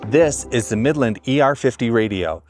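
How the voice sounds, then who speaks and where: A man's voice: mid-range voice, expressive, moderate pace, darkish tone, soft background music. A man hosting a video, giving a casual, plainspoken product review and explaining things as he goes. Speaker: video host.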